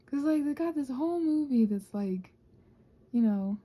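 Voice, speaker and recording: A soft-spoken woman chatting casually in an ASMR craft ramble, often slipping into whispers as she hesitantly thinks aloud. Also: sits high, animated, moderate pace. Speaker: host of a craft ramble channel. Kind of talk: casual rambling chat while painting, ASMR.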